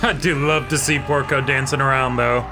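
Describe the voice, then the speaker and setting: A man's voice: medium pitch, fairly even intonation, fairly quick, over music. A man co-hosting a casual reaction show, agreeable and chiming in with short affirmations. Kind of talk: casual anime reaction chat.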